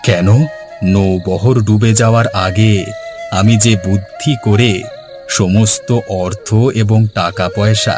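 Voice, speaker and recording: অভিনেতার কণ্ঠে কার্টুনের আন্তরিক গ্রাম্য বাবা, মাঝারি-নিচু বাস্তব গলা. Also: male voice, on the low side, steady tone, takes it slowly. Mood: explaining. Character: long-lost village father, gentle, earnest husband. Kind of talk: cartoon story dialogue. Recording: music behind the voice.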